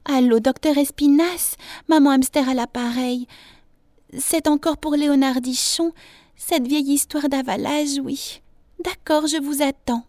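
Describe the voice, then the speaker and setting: A woman's voice: high-pitched, fairly even intonation, a little slow, darkish tone, dry recording, studio quality, pitched above the performer's own voice. A woman voicing a fussy, coaxing, exasperated mother hamster in a children's storybook. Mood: worried, polite.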